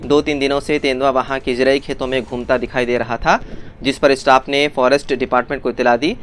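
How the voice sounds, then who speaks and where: A man's voice: mid-range voice, fairly even intonation, brisk. A man presenting scripted news in a formal bulletin delivery, as a news presenter would.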